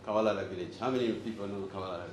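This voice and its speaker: Man from Zambia, forties, deeper voice, playful speaker quizzing audience, roomy echo, background noise. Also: fairly even intonation, rapid-fire. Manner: joking and engaging, quizzes the crowd. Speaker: host and speaker. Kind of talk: live talk to an audience. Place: event room with audience. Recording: echoey room, audible noise.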